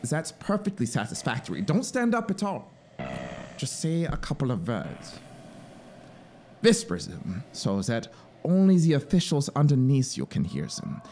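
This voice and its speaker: Man acting a fanatical, wildly expressive scheming officer. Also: mid-range voice, unhurried, a little hiss or hum. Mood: coaxing. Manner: theatrical, urgent, swinging between hushed asides and exclamations. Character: fanatical, scheming penal colony officer, pleading and grandiose. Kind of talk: dramatic audiobook storytelling.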